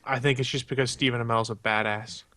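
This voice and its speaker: A man's voice: medium pitch, fairly even intonation, quick pace, bright, forceful tone, dry recording. A man co-hosting a podcast, talking casually about a television show. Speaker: podcast co-host. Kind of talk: casual TV recap chat.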